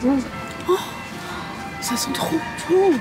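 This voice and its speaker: A woman vlogging in an airplane cabin on her phone, talking casually in an excitable, exclamatory, slangy way. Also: high voice, slow. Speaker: travel vlogger. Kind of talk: excited casual vlog narration. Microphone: phone camera. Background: loud music.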